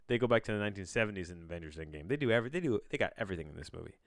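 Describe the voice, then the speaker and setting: A man with a fairly low, clean voice, recorded close and dry. He reads aloud like a casual podcast host, breaking off to wander into bemused asides and interrupt himself. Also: animated, very fast, no echo. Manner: rambling, self-interrupting, bemused; casual swearing. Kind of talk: casual solo podcast reading with rambling asides.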